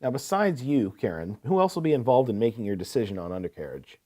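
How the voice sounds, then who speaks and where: A man's voice: mid-range voice, very animated, fast talker, dark tone. A man playing a courteous, attentive equipment salesman in a scripted business phone call, asking probing questions. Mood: attentive, reassuring. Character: courteous, eager male heavy-equipment salesman.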